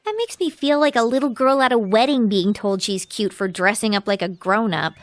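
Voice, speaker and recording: An actress playing a bashful, earnest tomboyish teenage girl in anime game dialogue, halting and self-conscious. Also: a little high, dry recording, lightly acted. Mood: bashful, eager. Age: young adult.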